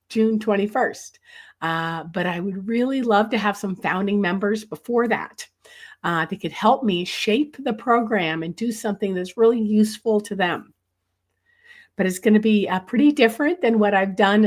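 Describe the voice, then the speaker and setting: A middle-aged woman leads a live online nature sketching lesson from a bookshelf-lined room at home. Her voice is hoarse from a chest cold. She rambles, filling her sentences with ums and uhs. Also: medium pitch.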